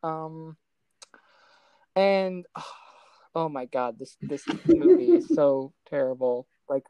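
A woman's voice: low-pitched, big pitch swings, takes it slowly, dull audio, a crowd in the background. A woman co-hosting a casual film discussion podcast, talking in an exasperated, sarcastic way. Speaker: podcast co-host reviewing films.

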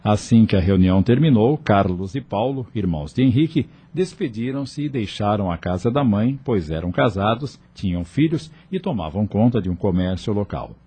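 A man's voice: lowish voice, expressive, normal speed, hardly any pauses, warm tone, breathy edge. A man narrating a scripted radio drama in a dramatic storytelling style.

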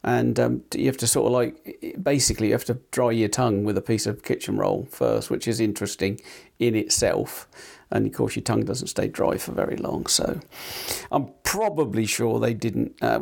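A man's voice: mid-range voice, animated, normal speed, gravelly, darkish tone. A man co-hosting a casual tech podcast, rambling with many fillers and restarts while nursing a sore tongue. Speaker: podcast co-host.

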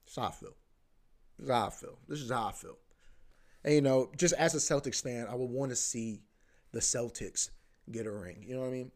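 A man's voice: medium pitch, fairly quick, long pauses, a little mellow. Dude rambling on his podcast, lots of bro and swearing, keeps restarting sentences. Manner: rambling, repeats himself, says "bro" a lot, swears. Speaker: podcast host. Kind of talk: casual rambling chat.

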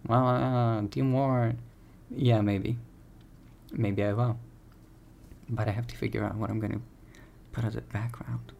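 A young man speaks in a soothing, gravelly voice, set in a middle pitch. He rambles candidly and keeps correcting himself, in a casual podcast style recorded on a home streaming mic. A faint hiss sits under his voice. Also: little pitch movement, measured pace, pauses often, darkish tone. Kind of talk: casual personal podcast monologue. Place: home room.

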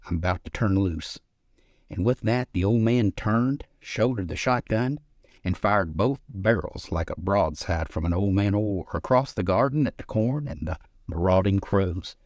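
A man's voice: lowish voice, measured pace, a little mellow, no room sound, studio quality. A man reading his own literary short story as its narrator.

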